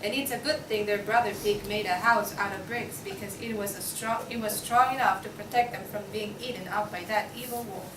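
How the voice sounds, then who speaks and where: A woman's voice: medium pitch, fairly even intonation, fairly quick, few pauses, a bit bright, natural voice. A woman playing an impatient, argumentative pig juror in a student play reading. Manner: insistent, exasperated. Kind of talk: student table read of a comic play. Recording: echoey noisy room, distant mic.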